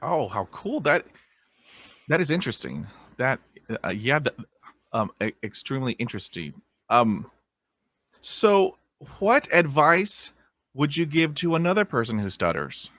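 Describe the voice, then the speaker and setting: A male podcast host who reacts with enthusiasm and then poses his questions. He speaks slowly, and his pitch moves lively up and down. The sound is dry, with no room around it. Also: no room sound. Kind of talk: interview questions.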